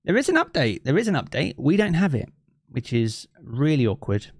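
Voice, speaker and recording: A man's voice: a little high, very animated, normal speed, husky, no room sound, clean studio sound. A man from southeast England with a local accent, chatting casually with his live-stream audience from his living room and reading chat aloud. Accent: southeast English. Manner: chatty and easygoing, thinks aloud with many fillers. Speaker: game streamer. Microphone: streaming mic with effects.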